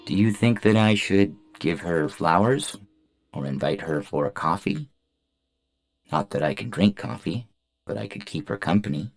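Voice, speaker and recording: A man's voice: deep voice, expressive, normal speed, gravelly, pitched above the performer's own voice. A man voicing a shy, naive and earnest robot in a science fiction audio story, hopeful and lovestruck, speaking carefully with music underneath. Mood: eager, unsure. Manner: earnest, wide-eyed, careful wording without contractions.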